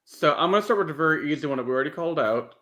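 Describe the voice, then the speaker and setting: A man's voice: fairly high pitch, expressive, very fast, sharp tone. A man speaking as a podcast host in casual, conversational chat.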